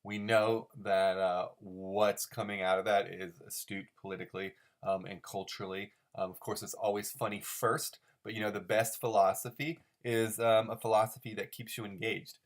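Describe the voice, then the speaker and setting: A man's voice: fairly low pitch, little pitch movement, moderate pace, hardly any pauses. Man rambling in mock-serious commentary. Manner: mock-serious, overanalytical, emphatic repetition. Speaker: host of a comic-strip commentary show. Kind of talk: rambling, unscripted solo commentary.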